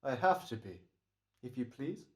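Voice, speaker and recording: A man's voice: medium pitch, sing-song, a little slow. A man playing a smug, careful hired killer, speaking with hesitant, mannered politeness in a radio drama. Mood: wary, smug. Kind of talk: old-time radio drama acting.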